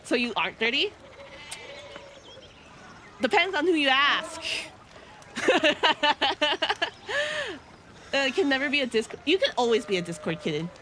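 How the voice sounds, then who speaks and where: A giggly woman around thirty streams a game and chats, her nasal voice bright and on the high side. Her pitch swoops up and down a lot as she rambles and teases herself, with a faint hum of noise behind her. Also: very animated, unhurried, pauses often. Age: about 30. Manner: giggly, rambling, self-teasing. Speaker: VTuber streamer. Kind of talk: casual live-stream chat while gaming.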